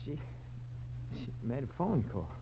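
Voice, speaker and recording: An actor playing a scrawny, nervous barfly informant with a stammering, giggly wheedle in an old radio drama. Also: male voice, medium pitch, sing-song, normal speed. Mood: sly, nervous. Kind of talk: 1940s radio drama acting. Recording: dull lo-fi old radio with noise.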